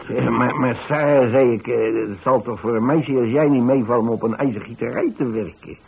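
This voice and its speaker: A man's voice: mid-range voice, a little fast, vocal fry, darkish tone, husky. An older man, a radio actor playing a kindly retired man who tells his story in a hesitant, rambling, conversational way. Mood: musing. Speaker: radio actor, narrating lead.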